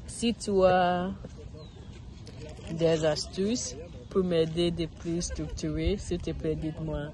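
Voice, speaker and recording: A woman's voice: fairly low pitch, steady tone, slow, dark tone, poor audio. A woman, recording a casual practice monologue outdoors in a park, searching for words and restarting often. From Ghana. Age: 30s. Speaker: vlogger learning French.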